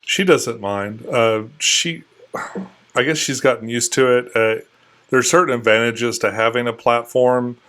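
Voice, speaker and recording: A man in his forties speaks as an interview guest at an ordinary middle pitch. He answers in a relaxed, hesitant way. His thoughts come out in halting pieces with plenty of fillers. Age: 40s. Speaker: guest, longtime video maker. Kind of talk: casual interview chat.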